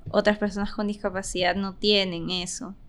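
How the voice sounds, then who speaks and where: A woman's voice: mid-range voice, flat delivery, moderate pace, a bit bright. A Peruvian woman talking reflectively and colloquially in a podcast discussion, often checking in with short tag questions. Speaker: podcast guest.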